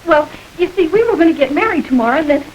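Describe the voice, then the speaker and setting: A woman's voice: high-pitched, expressive, fast talker, highs cut off, slight background noise. An actress playing a trusting young woman about to be married, earnest and hopeful, in a 1930s crime film. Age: young adult.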